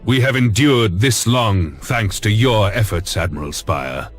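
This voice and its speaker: An actor playing a grave, commanding Lord Admiral in a video game cutscene, with formal and solemn delivery. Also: male voice, on the low side, lively intonation, measured pace. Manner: formal, authoritative, solemn oratory. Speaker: fleet commander. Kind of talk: scripted dramatic game cutscene acting. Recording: music behind the voice.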